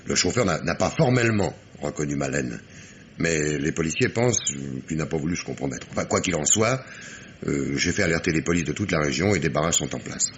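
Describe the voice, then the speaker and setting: An actor playing a sardonic, dogged police inspector in a French radio mystery play, with ironic, emphatic diction. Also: male voice, extremely low pitch, lively intonation, a little fast, a little hiss or hum. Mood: deferential, reporting.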